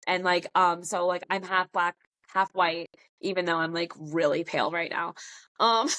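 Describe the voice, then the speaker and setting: A woman's voice: fairly low pitch, a bit bright, rough recording. A middle-aged American woman chatting informally and jokingly as a podcast guest. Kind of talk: casual chat.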